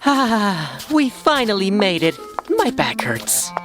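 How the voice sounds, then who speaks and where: A woman's voice: medium pitch, very animated, takes it slowly. A woman playing a genial, easygoing companion to aristocrats, courteous and leisurely, in an anime-style manga dub. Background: music.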